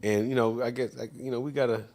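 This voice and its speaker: A man's voice: medium pitch, fairly even intonation, fast talker, a little mellow. A man co-hosting a casual livestream chat, telling a laid-back story with lots of fillers and restarts. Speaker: co-host.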